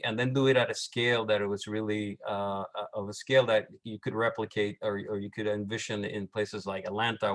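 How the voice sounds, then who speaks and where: A middle-aged man with a Puerto Rican accent, an architect presenting on a panel, halting with many restarts and fillers. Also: lowish voice, fairly bright tone. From Puerto Rico.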